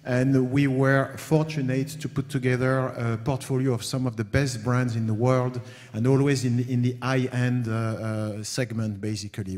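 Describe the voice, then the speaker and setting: A man's voice: medium pitch, a little mellow. A middle-aged man from France speaking on a conference panel, hesitant, with many fillers as he talks through his slides. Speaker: panel speaker, company founder. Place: conference hall. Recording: long reverb of a hall.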